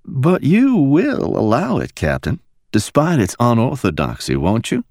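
A man voicing a poised woman security officer who speaks softly and persuasively in a silky Alabama drawl. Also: a little high, huge pitch swings, normal speed, creaky, no echo, studio quality, voice pushed up, heavily acted. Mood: silky, insistent. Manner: silky, soft-spoken.

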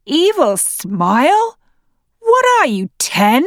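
A woman voicing a worn-out, angry father and husband in a family argument, shouting and pleading. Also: a little high, sing-song, a little slow, no room sound, clean studio sound. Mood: scornful. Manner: raised, pleading, exasperated. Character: angry exhausted middle-aged stepfather and husband.

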